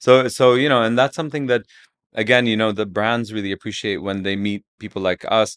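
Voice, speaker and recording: A man in his thirties who grew up in Saudi Arabia, talking casually as an interview guest, quick with many restarts and fillers. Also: on the low side, a bit bright, clear, resonant tone. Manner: fast-talking, many restarts and "you know". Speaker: guest, agency co-founder.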